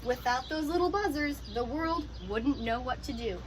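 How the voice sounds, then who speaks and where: A woman's voice: high-pitched, moderate pace, background noise. A woman reading a picture book aloud and explaining it simply for young children, in the manner of a teacher.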